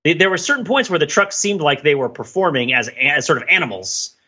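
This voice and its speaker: A man in middle age hosts a casual podcast with a very bright, forward voice that has a husky edge. He tells stories in an animated, conversational way. The recording is slightly dull and lo-fi. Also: a little high, a little fast. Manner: animated storyteller, acts out imagined dialogue, laughs while talking. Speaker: podcast host.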